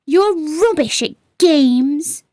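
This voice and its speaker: A woman's voice: high-pitched, animated, slow pace, darkish tone, no echo, studio quality, pitched above the performer's own voice. A woman voicing a grumpy, mocking little creature who taunts and discourages in a children's storybook. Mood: taunting. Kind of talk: children's storybook read-aloud.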